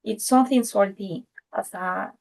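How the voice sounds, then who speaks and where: A woman from Spain with a Spanish accent gives an informal presentation over a video call, in simple, slightly halting phrasing. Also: mid-range voice, vocal fry, a little mellow, rough recording. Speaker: guest presenter.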